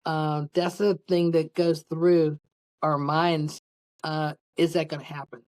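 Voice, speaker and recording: A woman's voice: sits low, flat delivery, slow, a little mellow. A middle-aged woman speaking as an interview guest, telling personal anecdotes in a candid, rambling, casual way. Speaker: podcast guest, public speaker.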